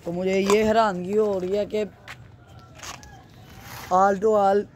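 A young man with a hoarse throat talks casually to his phone camera outdoors on a rooftop, rambling as he points things out. His voice sits a bit high and mellow, with little rise and fall. Faint background noise runs underneath. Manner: chatty, loosely rambling while showing things. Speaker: vlogger. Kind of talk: casual vlog chat. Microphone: handheld phone.

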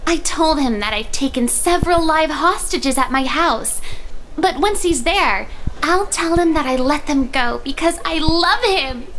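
A woman voicing a lovestruck, scheming cartoon chicken girl in a game cutscene, with a sweet, dreamy manner and breathy whispers. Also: sits high, animated, measured pace, a bit bright, slight background noise, poor audio.

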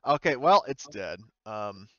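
A man with a bright, clear voice acts as game master for a casual tabletop session. He thinks out loud as he rules on moves. The audio is dry and a little dull, like close-miked voice chat. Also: medium pitch, very animated, measured pace, no echo.

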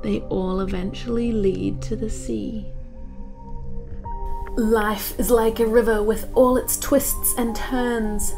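A woman vlogger narrating reflective, poetic lines in a soft, measured voice-over. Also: fairly high pitch, creaky.

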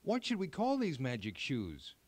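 A man's voice: mid-range voice, wildly swooping pitch, a little slow. A man voicing a brave, happy young prince in a storybook, making earnest announcements. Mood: excited. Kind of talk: storybook character acting.

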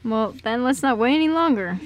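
A considerate little orphan boy, played by a woman in an amateur radio play. The voice is fairly high, with a gentle and earnest delivery. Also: lively intonation, a little fast, natural voice. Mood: earnest, sweet. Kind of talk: amateur radio drama script reading.

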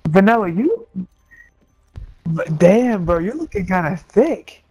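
A man's voice: high-pitched, sing-song, slow, husky. A twenty-year-old man joking and swearing with teammates on a headset while playing an online shooter. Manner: teasing, loud, swears a lot. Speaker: player recording the game. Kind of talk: casual gaming banter.

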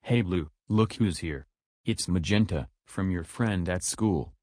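A man's voice: low voice, unhurried, a little mellow, dry recording, studio quality. A man voicing a friendly, patient purple dinosaur who hosts a children's cartoon show and explains things simply. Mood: cheerful. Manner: cheerful, patient, addressing child viewers.

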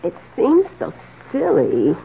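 An actress playing a timid, hesitant, deferential household servant in an old radio drama. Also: female voice, sits high, wildly swooping pitch, slow, creaky, full character voice. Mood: meek. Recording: dull, faintly noisy old radio recording.

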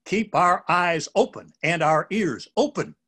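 A man's voice: high-pitched, expressive, measured pace, fairly bright tone, full character voice. A man voicing a small, cheerful, curious inchworm in a children's storybook. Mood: eager.

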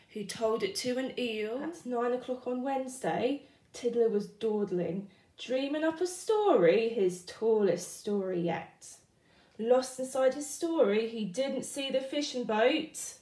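Animated, high-voiced woman reading a storybook. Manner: deliberate, animated, rhythmic. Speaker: teacher reading a picture book to children. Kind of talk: expressive storybook narration. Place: indoor room, book on wooden surface. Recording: roomy indoor echo.

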